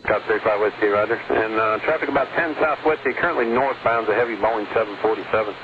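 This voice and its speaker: A man's voice: fairly low pitch, quick pace. A man working as an air traffic controller, reading out traffic advisories over an aviation radio. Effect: radio transmission. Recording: very tinny, noisy aviation radio.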